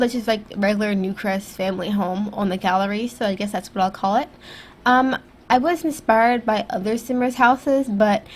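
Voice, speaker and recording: A young woman whose voice sounds stuffy and throaty after being sick, casually commenting over her video in a rambling, self-critical way with lots of fillers. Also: a little high. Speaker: YouTube gaming creator. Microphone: USB desktop microphone.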